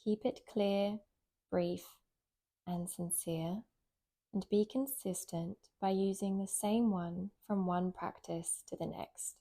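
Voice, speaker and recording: Female meditation guide, very slow pacing, soft dark mellow tone, gentle and soothing, long pauses. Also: medium pitch, steady tone. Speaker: meditation guide, yoga nidra teacher.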